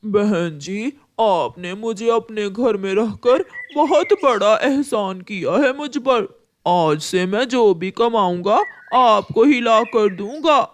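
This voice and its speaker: A woman's voice: mid-range voice, expressive, takes it slowly. A woman voicing a scheming, thieving crow in a cartoon, with a wheedling manner.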